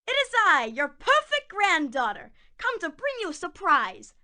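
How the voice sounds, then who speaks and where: Woman acting a scheming cartoon wolf, female, high and bright, mock-sweet, wheedling, theatrical. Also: wildly swooping pitch, normal speed, exaggerated voice. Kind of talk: children's cartoon fairy tale acting.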